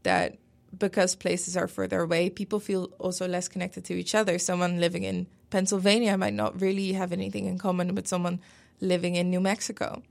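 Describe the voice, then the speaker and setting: A woman's voice: lowish voice, level tone, a little fast, gravelly, hardly any pauses, darkish tone. A young Dutch woman, native Dutch speaker, talking as a guest in a casual interview, thoughtful and full of hedges. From Netherlands. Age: young adult. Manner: thoughtful, many fillers and hedges.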